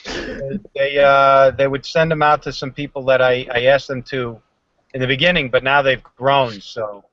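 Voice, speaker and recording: A man's voice: medium pitch, little pitch movement, normal speed, a bit bright, highs cut off. A man joining a casual live show by video call, easygoing and a little apologetic, laughing along with the hosts.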